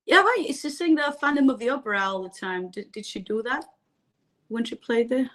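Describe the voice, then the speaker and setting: A chatty Greek-accented woman talks as a guest on a live stream, laughing easily between thoughts. Her voice sits a little high and rings bright and sharp. She speaks in loose, filler-heavy phrases. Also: lively intonation, moderate pace. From Greece. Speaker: metal vocalist, guest. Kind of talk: casual live-stream interview.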